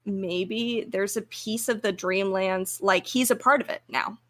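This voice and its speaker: Woman co-host, mid pitch, slow delivery, informal and playfully reflective conversational style. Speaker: podcast co-host. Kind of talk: casual chat.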